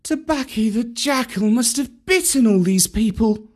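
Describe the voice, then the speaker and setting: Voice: mid-range voice, lively intonation, unhurried, darkish tone, pitched above the performer's own voice. A reader voicing a tired, bewildered young boy of the jungle, in a raised, wondering voice. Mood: weary. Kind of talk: audiobook character voice.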